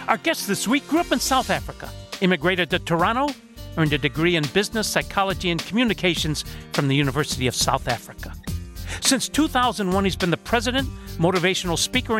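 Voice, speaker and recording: A man hosting a radio interview show, introducing his guest and asking questions in a deliberate, emphatic way. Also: high voice, very animated, over music.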